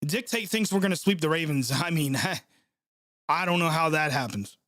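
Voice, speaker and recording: A young man reacts live to a game for his stream, his nose stuffed up from a cold. His voice sits fairly high, and he vents with sarcasm and frequent swearing. The sound is dry and close. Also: animated, moderate pace, fairly bright tone, no echo. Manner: frustrated, sarcastic, swears often. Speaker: sports channel host reacting live. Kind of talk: live play-by-play reaction stream, reading chat.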